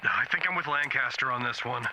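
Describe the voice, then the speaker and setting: A man's voice: medium pitch, a little fast, sharp tone. A man in an audio drama playing a cautious, pragmatic colleague talking over a two-way radio. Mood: measured. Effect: two-way radio filter. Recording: thin, noisy two-way radio.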